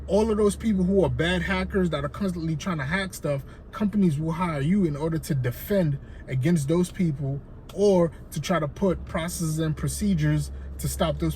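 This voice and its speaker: A man's voice: a little high, darkish tone, a little hiss or hum. A man with a Haitian accent talking casually to his viewers, rambling and checking in with "right?" again and again. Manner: loose, rambling, frequent "right?" check-ins. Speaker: finance vlogger. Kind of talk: casual talk to camera.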